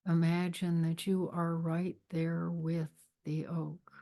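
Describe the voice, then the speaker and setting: A woman leading a guided meditation with spiritual readings, speaking in a measured, deliberate way with long pauses. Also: fairly low pitch, level tone, mellow. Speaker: meditation leader, spiritual minister.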